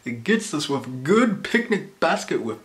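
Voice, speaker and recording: A jovial, scheming cartoon bear who drawls slowly, with a lively, bouncing pitch, as a young man puts it on. The delivery takes its time. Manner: slow, drawling. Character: jovial slow-talking male cartoon bear.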